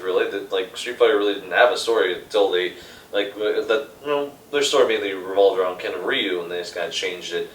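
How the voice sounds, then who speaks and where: A man chatting and debating casually as a co-host, with a halting, rambling, sweary fan's enthusiasm. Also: lowish voice. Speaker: co-host of a movie ranking show. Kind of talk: casual chat and debate among friends. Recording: echoey room, distant from the mic.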